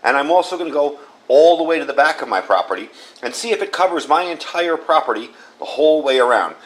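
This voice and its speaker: A man's voice: on the high side, expressive, fast. A man hosting a casual hands-on product review, talking in a folksy, plain-spoken way and repeating himself for emphasis. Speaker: product reviewer and channel host.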